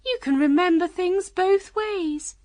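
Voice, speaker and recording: A woman voicing an eccentric, scatterbrained old storybook queen with a shrill, emphatic manner. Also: takes it slowly, darkish tone, no echo, clean studio sound. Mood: brisk, self-assured. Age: older. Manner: shrill, emphatic, matter-of-fact about nonsense.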